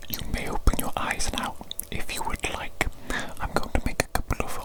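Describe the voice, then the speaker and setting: An ASMR performer whispering slowly and deliberately in a breathy, unvoiced voice, repeating phrases softly while playing a calm evil doctor examining a patient. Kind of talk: whispered ASMR medical roleplay.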